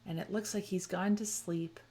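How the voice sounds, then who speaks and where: A woman reading a children's picture book aloud with expressive, rhythmic phrasing and dramatic emphasis for young listeners. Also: mid-range voice, normal speed. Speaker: children's story reader.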